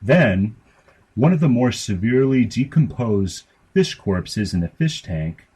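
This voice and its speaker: A man reading fiction aloud as scripted narration, in a matter-of-fact, deadpan manner. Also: fairly low pitch, unhurried, husky. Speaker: story reader.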